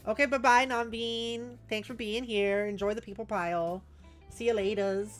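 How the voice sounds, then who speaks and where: Halting, giggly woman streamer over music. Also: fairly high pitch, steady tone. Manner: giggly, halting, thinking aloud. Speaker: streamer, host. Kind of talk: casual livestream chat, reading out viewer messages.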